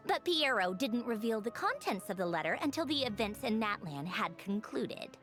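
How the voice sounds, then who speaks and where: A woman's voice: on the high side, big pitch swings, normal speed, a bit bright, faint music behind. A woman playing a poised, self-assured, teasing character in a video game, with a playful, knowing way of talking. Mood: knowing, explanatory. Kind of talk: video game dialogue acting.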